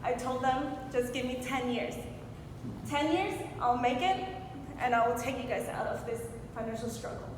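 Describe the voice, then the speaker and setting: Echoey hall recording of a woman speaking motivationally. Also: moderate pace. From Hong Kong. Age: 40s. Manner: rhetorical questions to the audience. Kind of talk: motivational speech telling a personal story. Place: large echoing room before an audience. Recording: very echoey hall with clear noise and rumble.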